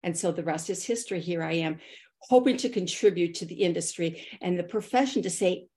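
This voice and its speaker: A woman's voice: fairly low pitch, fairly quick. Middle-aged woman telling stories on a podcast. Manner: animated storyteller who acts out quoted thoughts. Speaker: podcast guest, consultant and author. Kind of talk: personal storytelling in an interview.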